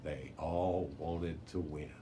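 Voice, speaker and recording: Man, low voice, children's audiobook narration, occasional stumbles, background noise. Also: steady tone, slow, warm tone. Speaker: library story reader.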